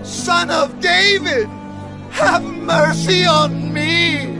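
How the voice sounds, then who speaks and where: An actor playing a desperate blind beggar crying out pleadingly in a dramatized audio Bible. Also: male voice, very high voice, fairly even intonation, full character voice. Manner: crying out, drawn-out. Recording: very loud background music.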